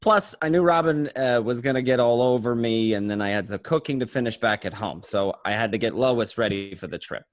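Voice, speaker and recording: A man's voice: mid-range voice, a little fast, hardly any pauses, no echo, natural voice. A man acting as a stressed, bossy male criminal in an amateur script read, insistent and repeating himself. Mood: harried. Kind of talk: amateur online table read of a film script.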